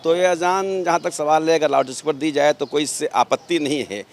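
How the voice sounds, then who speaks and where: A man's voice: a little high, fairly even intonation, fairly quick. A man, a local worshipper, answering a TV reporter outdoors in an earnest, explanatory way, often rephrasing himself. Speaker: local worshipper interviewed by TV news. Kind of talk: street interview answers. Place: outdoors outside a mosque.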